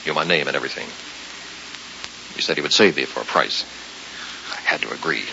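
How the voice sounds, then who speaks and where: An actor playing a frightened, bewildered man with a gravelly voice, terse and defensive, in old-time radio thriller style. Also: very deep voice, brisk, bright, forceful tone, exaggerated voice. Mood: anguished, confessing. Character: frightened, bewildered man haunted by a supernatural bargain. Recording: hissy, dull old radio recording.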